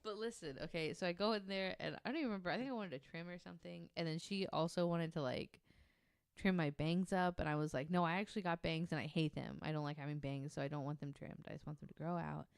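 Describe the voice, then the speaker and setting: A woman's voice: lowish voice, fast talker, creaky, clean tone, no echo, clean studio sound. A woman on a podcast, casually telling anecdotes in a chatty, informal way. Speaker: podcast panelist. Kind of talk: casual chat, anecdotal storytelling.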